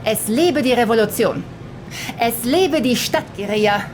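A woman's voice: a little high, animated, measured pace. Assertive young revolutionary woman, noisy backdrop. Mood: fervent. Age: young adult. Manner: assertive, declamatory, talkative. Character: Mira, young female urban guerrilla, bossy, fervent, chatty revolutionary. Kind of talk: German sci-fi radio drama dialogue.

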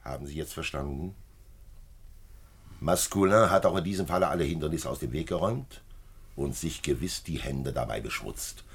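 A calm, shrewd commissioner in a 1950s radio crime drama. The voice sits very low, husky and full of gravel. He explains hard truths in a measured, matter-of-fact way. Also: exaggerated voice. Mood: resigned, matter-of-fact. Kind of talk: 1950s German-language radio play acting.

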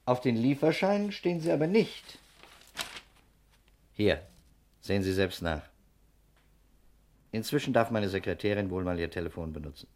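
An actor playing a shrewd, authoritative investigator in a 1960s German radio crime drama, speaking briskly and methodically. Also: male voice, lowish voice, animated, darkish tone. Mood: accusing, relentless. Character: shrewd, commanding male private investigator, methodically accusing.

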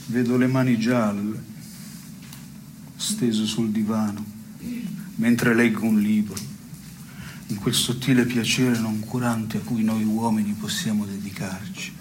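Over clear background noise, an actor plays a brooding man with a lowish voice. He muses in measured, literary phrases, melancholy and neurotic, in an absurdist radio theatre dialogue. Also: level tone, natural voice. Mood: pensive. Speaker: stage actor and playwright.

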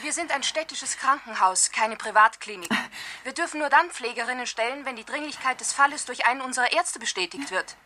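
An officious hospital receptionist in a vintage radio play. She talks quickly in a high, bright voice, businesslike and unyielding. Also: expressive, heavily acted. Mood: brisk, officious. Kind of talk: 1970s German radio drama acting.

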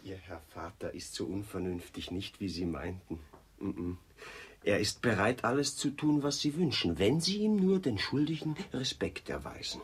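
An actor playing an obliging, scheming servant in a radio play, servile and emphatic in manner. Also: male voice, on the low side, expressive, moderate pace, slight background noise, lightly acted. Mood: persuasive. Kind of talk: theatrical radio play dialogue.

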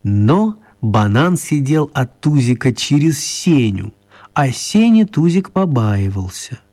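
A man narrating a children's radio play as a scripted storyteller, with measured, wry phrasing. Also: mid-range voice, big pitch swings, dark tone.

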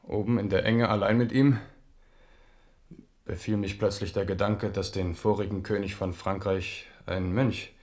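A man's voice: fairly low pitch, flat delivery, measured pace, darkish tone, close to an everyday voice. An actor playing a restless, self-doubting Renaissance French king in a radio play, in standard German with a brooding, ironic manner. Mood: brooding, uneasy.

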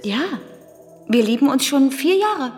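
An appeasing, hesitant woman in a radio drama. Her fairly high voice swings in pitch as she speaks colloquially and apologetically. The hall around her reverberates. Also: animated, a little slow, darkish tone. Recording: long hall reverb under music.

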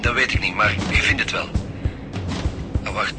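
A man with a Flemish accent playing a gruff, bossy chief detective in a radio crime drama, terse and commanding. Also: fairly low pitch, moderate pace, natural voice. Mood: urgent, tense. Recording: music under the voice.

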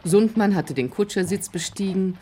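A woman's voice: mid-range voice, normal speed, warm tone, a little hiss or hum. A woman narrator reading scripted literary narration for a drama. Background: music.

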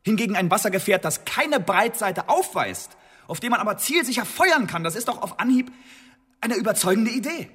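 An anxious, eager Elizabethan secret agent. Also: male voice, high voice, sing-song, fairly bright tone, breathy edge, full character voice. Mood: eager, insistent. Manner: halting, self-justifying, with repeated restarts. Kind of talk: German radio drama acting.

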